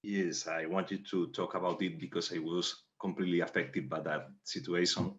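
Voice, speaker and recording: A Spanish man with a Spanish accent, a playwright answering panel questions in halting second-language English. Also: low-pitched, little pitch movement, fairly bright tone, muffled recording. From Spain.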